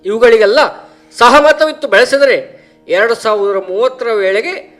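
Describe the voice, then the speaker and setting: Man acting as friendly puppet character, high pitch, formal, explanatory, earnest, scripted puppet-show dialogue. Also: a little slow, fairly bright tone.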